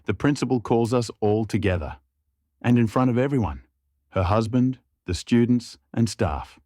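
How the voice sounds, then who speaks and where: A man narrating an online story in an even, scripted reading tone. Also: medium pitch, moderate pace, no room sound, studio quality.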